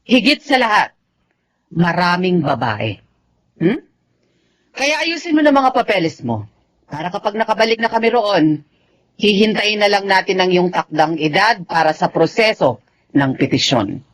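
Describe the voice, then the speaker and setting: A woman's voice: lowish voice, very animated, normal speed, long pauses. An actress with a Filipino accent playing a stern, snobbish, controlling middle-aged mother in a radio drama. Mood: firm, persuading. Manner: commanding, disdainful.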